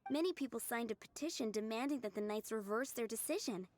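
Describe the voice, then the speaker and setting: A woman voicing a kind, gentle female restaurant keeper who speaks calmly and earnestly, in video game dialogue. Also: on the high side, quick pace, natural voice. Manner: warm, calm and earnest. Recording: game dialogue over music.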